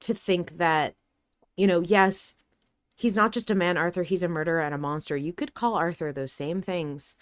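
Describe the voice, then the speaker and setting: Low-voiced woman with smooth, clear tone. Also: moderate pace, no room sound, studio quality. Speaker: podcast host. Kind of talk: casual podcast discussion.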